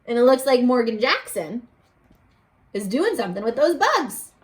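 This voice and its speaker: A woman teacher reading a picture book aloud to young children, expressively, pausing to put questions to them. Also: fairly high pitch. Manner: animated, addressing children with questions and pauses.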